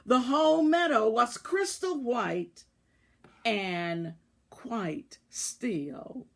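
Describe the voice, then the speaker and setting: Casting: female children's story reader, unhurried and deliberate, with lively, expressive intonation. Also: on the high side, creaky. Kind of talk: expressive children's read-aloud narration.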